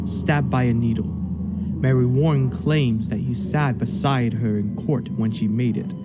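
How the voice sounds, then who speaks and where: A man's voice: medium pitch, close to an everyday voice. A man acting the part of a stern, imperious judge in a radio play, speaking in a formal, commanding way. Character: stern, imperious male judge presiding over an inquiry.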